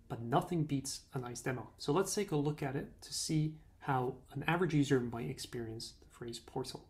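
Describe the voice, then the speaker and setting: A young man, a native Czech speaker, giving a clear step-by-step software demo on a video call. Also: medium pitch. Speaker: product demo presenter.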